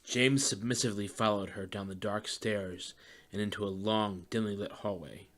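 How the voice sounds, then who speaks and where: A man's voice: on the low side, fairly even intonation, normal speed, a bit bright. A man reading scripted fiction as the narrator of a dramatized audiobook.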